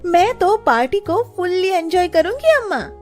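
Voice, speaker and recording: A woman voicing an excitable young daughter in a children's cartoon story, with a childlike, exclaiming manner. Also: very high voice, moderate pace, faint music behind, pitched above the performer's own voice. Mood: eager. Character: excitable young daughter, eager, easily scared.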